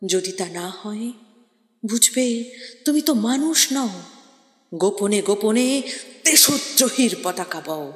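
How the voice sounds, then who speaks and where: Female poetry reciter: dramatic, declamatory, unhurried, with weighty pauses, in a heavily echoing recording. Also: mid-range voice, animated. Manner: theatrical, declamatory, with deliberate pauses. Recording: echoey, long reverb on voice.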